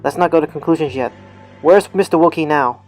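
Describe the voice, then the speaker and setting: A man's voice: on the high side, fairly quick, soft background music, lightly acted. Man acting a pensive senior police investigator. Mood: thoughtful, measured. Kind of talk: amateur audio drama acting.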